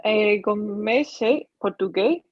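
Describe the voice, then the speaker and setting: A woman learning French as a beginner, answering slowly and hesitantly on a video call. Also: medium pitch, no echo, dull audio. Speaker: beginner student.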